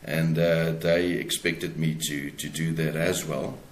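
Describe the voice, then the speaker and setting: Male interview guest, South African accent, low-pitched voice, thoughtful halting delivery, roomy echo. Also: flat delivery, slight background noise. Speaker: author, podcast guest. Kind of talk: conversational interview answers. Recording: echoey room sound.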